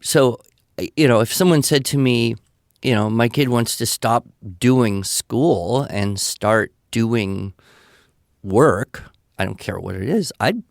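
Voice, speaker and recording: Male podcast guest, mid-pitched, explanatory and emphatic, conversational advice delivery, very dry recording. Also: slow pace, gravelly, dry recording. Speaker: podcast guest answering listener questions.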